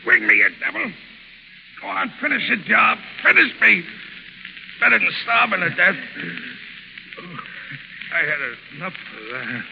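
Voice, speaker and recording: A very gravelly, starving henchman. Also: high-pitched, big pitch swings, unhurried, pauses often, exaggerated voice. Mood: bitter, desperate. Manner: agitated, melodramatic. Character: starving, bitter, frightened criminal henchman. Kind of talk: 1930s radio acting. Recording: muffled, hissy, reverberant 1930s radio recording.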